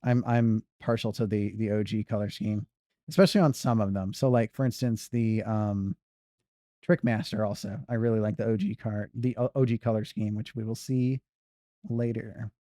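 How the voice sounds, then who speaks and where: A man's voice: lowish voice, fairly even intonation, normal speed, warm tone, dry recording, clean studio sound. A man giving casual gameplay commentary as a speedrun streamer, rambling and correcting himself. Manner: rambling, self-correcting banter.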